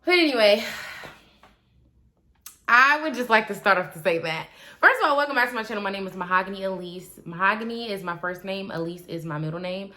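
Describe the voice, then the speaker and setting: A woman, talking casually and animatedly to her viewers, swinging between loud asides and quiet trailing remarks. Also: medium pitch, lively intonation, fairly quick. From Milwaukee, Wisconsin. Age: 20s. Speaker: vlogger. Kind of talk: casual confessional vlog.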